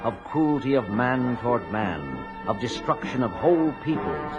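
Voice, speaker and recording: Portentous, lively-pitched male announcer. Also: normal speed. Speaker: announcer narrator. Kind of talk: dramatic scripted introduction, audio drama. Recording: dull lo-fi sound under brassy music.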